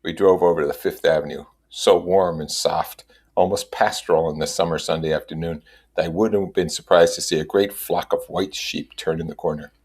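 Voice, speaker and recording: A man's voice: low voice, steady tone, a little fast, darkish tone, breathy edge. A man reading a novel aloud as narrator, adding his own comments. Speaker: reader and commentator.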